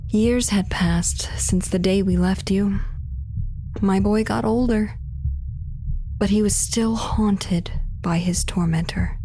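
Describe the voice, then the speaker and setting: An actress playing a grave, accusing, haunted mother, speaking in a hushed, deliberate way in a horror audio drama. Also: medium pitch, fairly even intonation, slightly rough. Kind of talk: psychological-horror audio drama narration and dialogue. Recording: faint ambient noise behind.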